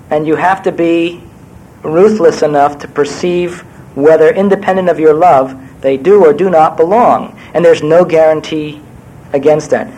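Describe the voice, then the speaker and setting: Wry older New man, slightly high voice. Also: a little mellow, low-quality recording. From New York. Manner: wry, digressive, self-deprecating. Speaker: screenwriter guest speaker. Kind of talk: Q&A talk to an audience.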